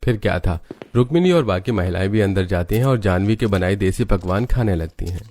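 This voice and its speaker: A man's voice: low-pitched, lively intonation, fairly quick, darkish tone, a little hiss or hum. A man reading scripted narration for a moral story.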